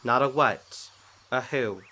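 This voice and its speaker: A woman's voice: rumbling low, slow pace, pushed and bright, full character voice. A woman playing a resolute hero who rallies her team in a musical audio drama. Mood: grave.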